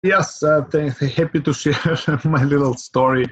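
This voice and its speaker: A middle-aged man from Ukraine, a podcast guest, talking in an earnest, measured way with fillers, often asking "right?". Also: a little high, fairly even intonation, breathy edge, dry recording. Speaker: guest, real estate investor. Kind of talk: podcast interview.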